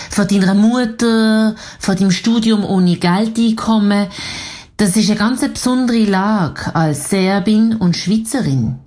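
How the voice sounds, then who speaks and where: A woman's voice: medium pitch, a little mellow. A woman interviewer, speaking in an interview.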